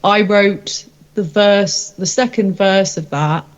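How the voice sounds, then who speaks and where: A woman's voice: mid-range voice, slow, muffled recording. A woman, a rock singer-songwriter, chatting casually in an interview in an informal, rambling, self-deprecating way.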